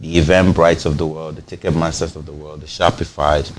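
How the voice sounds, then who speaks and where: A man's voice: very deep voice, level tone, a little fast, a little hiss or hum. A man from Cameroon, a company founder giving a TV interview, explaining at length and restarting phrases as he goes. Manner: explains at length, repeats words when starting phrases. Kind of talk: TV interview, conversational.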